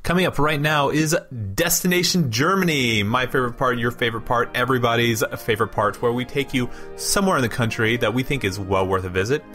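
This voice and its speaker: A man's voice: medium pitch, fast talker, hardly any pauses, fairly bright tone, faint music behind. A man co-hosting a podcast, presenting in an upbeat, enthusiastic, casual way. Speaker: podcast co-host. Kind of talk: casual chat.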